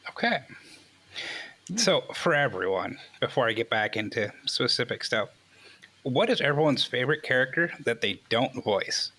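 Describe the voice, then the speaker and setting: A man's voice: medium pitch, big pitch swings, moderate pace, sharp tone, low-quality recording. A man hosting a casual group interview, putting questions to the guests. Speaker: host interviewer.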